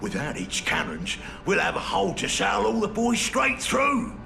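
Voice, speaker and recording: A gravelly ork lackey schemes in a high, bright voice. The pitch leaps around with crude energy. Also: male voice, huge pitch swings, moderate pace, faint music behind, heavily acted. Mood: scheming.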